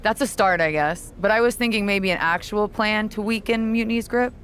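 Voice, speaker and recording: A woman playing a calculating veteran wrestler in a video game cutscene, talking in a cool, condescending, measured way. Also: mid-range voice, a bit bright, a little hiss or hum, natural voice.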